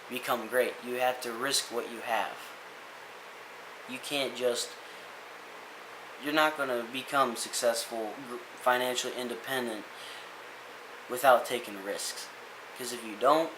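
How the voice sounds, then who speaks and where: A young man giving a casual, rambling motivational rant as a vlogger, earnest and full of restarts. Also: mid-range voice. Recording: echoey room with hiss.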